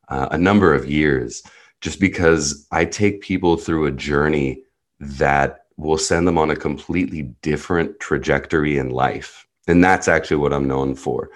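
A man, a native Hebrew speaker who grew up in the US, telling his life story as a podcast guest in an earnest, reflective and blunt way. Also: very deep voice. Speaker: podcast guest, personal guide. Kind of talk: candid personal storytelling.

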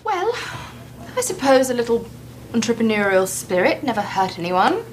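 Casting: haughty name-dropping socialite, lively swooping delivery, sitcom. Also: female voice, on the high side, normal speed, a little hiss or hum, poor audio. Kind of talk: sitcom acting.

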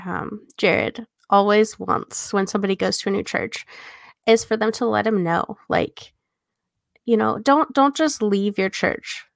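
A woman with a noticeably creaky, fry-edged voice co-hosts a podcast. She talks casually and conversationally at a middling pitch. The recording is dry, with hardly any room sound. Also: a little slow, no echo. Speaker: podcast co-host, editor. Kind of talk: casual chat.

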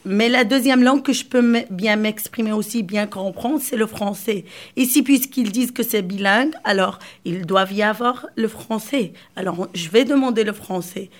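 Moroccan woman chatting in an interview, arabic is her first language, stops and restarts a lot, laughs easy, mid pitch. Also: normal speed. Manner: hesitant, repeats words, laughs often. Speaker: interview guest. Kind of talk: casual interview chat.

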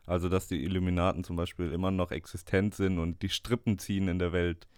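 A young man co-hosts a student podcast, easygoing and quick to laugh. His voice sits on the low side, recorded close and dry. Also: clean tone, no echo. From Bavaria, Germany. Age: young adult. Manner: relaxed, laughs easily. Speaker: podcast co-host, journalism student. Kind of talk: casual scripted podcast chat.

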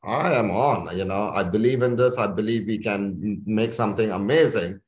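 Male executive from India, lowish voice, enthusiastic interview guest, roomy echoing sound. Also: normal speed. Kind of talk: conversational business interview. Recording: echoey room.

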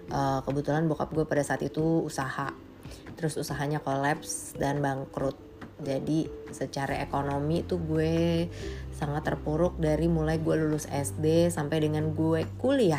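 Woman in her late 20s, low pitch, candid and blunt, casual slangy monologue, English phrases mixed in, music behind. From Indonesia.

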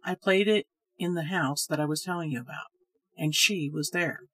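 A woman voicing a sad, hesitant man who tells a ghost story in a grave, measured way. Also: deep voice, fairly bright tone, husky. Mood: earnest.

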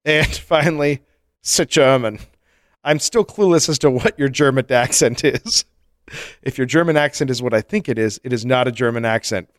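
A man's voice: medium pitch, moderate pace, no echo, studio quality. A man co-hosting a comedy podcast, reading listener mail aloud in a casual, joking way and laughing often. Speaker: podcast co-host.

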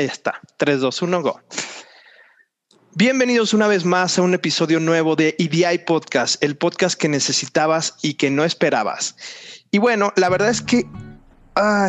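Male podcast host, Mexican accent, slightly high pitch, loose rambling chat over audible music. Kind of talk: casual podcast hosting. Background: background music.

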